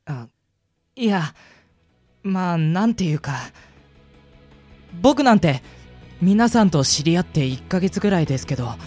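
A woman's voice: on the low side, animated, a little slow, pauses often, darkish tone, faint music behind. A woman playing an earnest, easily flustered young man who works part-time, in Japanese radio drama style.

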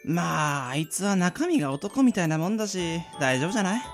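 Mischievous high-voiced young man acted by a man. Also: big pitch swings, brisk, soft background music. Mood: breezy, sly. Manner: teasing, nonchalant. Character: mischievous, breezy young man plotting pranks, Shun. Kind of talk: amateur radio drama acting.

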